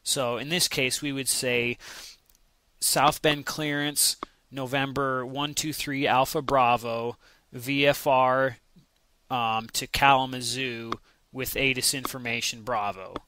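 A man's voice: medium pitch, fairly even intonation, slow, bright, forceful tone. An American man narrating an instructional lesson in a conversational, explanatory way, carefully spelling out example radio calls. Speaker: flight simulation instructor.

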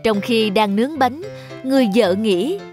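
A woman's voice: a little high, expressive, moderate pace, darkish tone. A woman narrator reading a scripted children's story. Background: jingly children's music.

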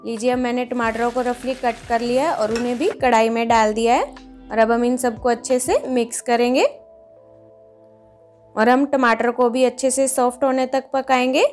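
A woman hosting a home cooking video, patiently explaining each step in a calm instructional voice-over. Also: on the high side, moderate pace, soft background music. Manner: patient, explains each step, often says "dekhiye, lijiye". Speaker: cooking channel host.

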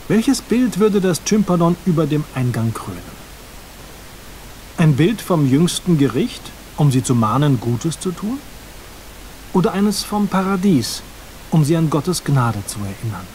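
A measured, pious prior, slightly raised voice, faint hiss. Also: male voice, a little high, very animated, a little mellow, low-quality recording. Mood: solemn. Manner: measured, solemn, instructive. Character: calm, devout male prior, middle-aged. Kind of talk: game voice acting.

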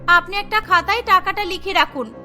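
A woman's voice: very high-pitched, normal speed, pushed and bright, soft background music, full character voice. A woman voicing a cheeky, scheming schoolgirl in a cartoon, with a brazen, wheedling manner. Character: cheeky, scheming schoolgirl crazy about biryani. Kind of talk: cartoon story acting.

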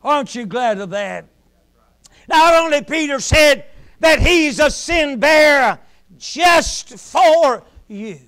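Middle-aged male pulpit preacher, very high and bright, slow and deliberate, alternates shouting and hushed delivery. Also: expressive. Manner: preaching in rising shouts, then dropping to a hush. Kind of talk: sermon. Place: small church sanctuary, at a pulpit.

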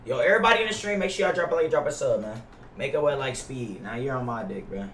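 A man's voice: mid-range voice, lively intonation, fast, fairly bright tone. A young American man livestreaming a game, chatting casually in slang with plenty of swearing and repeated phrases. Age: young adult. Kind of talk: casual livestream chat with viewers. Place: small indoor room at a gaming setup. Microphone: gaming headset. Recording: roomy, distant mic, faint noise, keyboard clicks.

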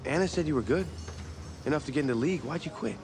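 A man's voice: on the high side, animated, fast, background noise, lightly acted. A man playing a laid-back young street racer in a game, casual, understated and brief.

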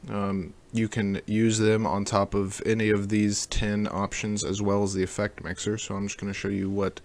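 Jokey dude doing a casual how-to, kinda low voice with some fry. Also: male voice, completely flat delivery, normal speed. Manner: jokey, slangy. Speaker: tutorial host. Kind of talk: casual software tutorial narration.

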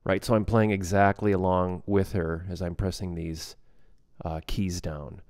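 A man giving a casual software tutorial as a music production instructor, explaining plainly step by step. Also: low-pitched, little pitch movement, measured pace, clean tone, no echo, studio quality.

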